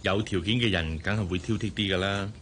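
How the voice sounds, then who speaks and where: An actor playing a smitten, insecure boss who talks hesitantly and earnestly in a Hong Kong Cantonese radio drama. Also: male voice, sits low, brisk, a bit bright, a little hiss or hum. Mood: matter-of-fact. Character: smitten, insecure boss courting his young secretary.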